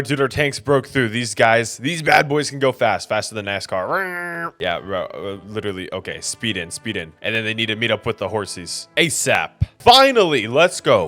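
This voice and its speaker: Young man, gaming commentary, excitable, jokey, slangy, middle pitch, faint music behind. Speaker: gaming YouTuber. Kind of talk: casual gameplay commentary.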